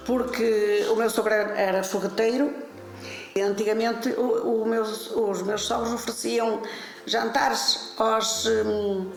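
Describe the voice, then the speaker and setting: An older woman in her seventies speaks with a fairly high voice. She explains her craft step by step, hesitating and repeating words as she goes. The sound carries a clear echo from the room. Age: 70s. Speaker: interviewee, traditional fish curer. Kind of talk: documentary interview. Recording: echoey room.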